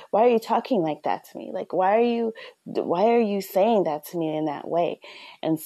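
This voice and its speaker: A woman hosting a podcast, giving parenting advice in a soft-spoken, earnest, conversational way. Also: on the low side. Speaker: podcast host. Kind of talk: casual advice talk.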